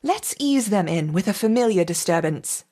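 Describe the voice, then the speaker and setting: A woman's voice: mid-range voice, animated, normal speed, mellow, natural voice. A woman playing a commanding lead scientist in a video game, composed and authoritative. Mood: cool, in command. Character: commanding female lead scientist overseeing an experiment. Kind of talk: video game character acting.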